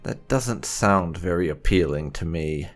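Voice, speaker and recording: A man's voice: low-pitched, expressive, measured pace, soft background music. A man hosting a gaming video, giving wry roleplay commentary and replying in character.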